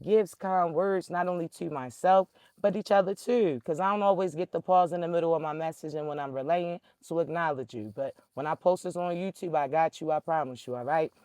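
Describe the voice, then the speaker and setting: A man's voice: a little high, fairly quick, a little mellow, dry recording. A young man, emphatically delivering a spiritual message straight to his listeners. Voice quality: raspy. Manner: emphatic, preacherly, addresses listeners directly. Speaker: spiritual reader. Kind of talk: direct-to-camera spiritual message.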